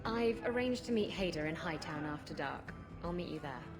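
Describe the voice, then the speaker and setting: A brash female duelist from a fantasy game, with a lowish voice. She is flippant, sly and sure of herself, with music behind her. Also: animated, moderate pace. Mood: sly, confident. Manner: sly, flippant, self-assured. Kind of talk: video game character dialogue.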